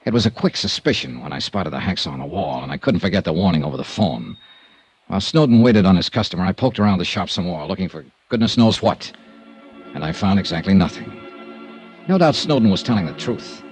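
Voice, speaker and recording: A man's voice: low voice, sing-song, a little fast, soft background music. An actor playing a wry, hard-boiled male insurance investigator, narrating and talking in a 1950s radio drama. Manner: laconic, wry first-person narration.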